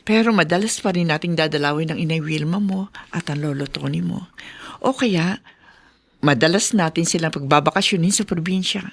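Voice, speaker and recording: Sorry middle-aged filipino mom in a radio drama, tender n emotional. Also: female voice, deep voice, moderate pace. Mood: tender, hopeful. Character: remorseful middle-aged mother reuniting with her given-away daughter.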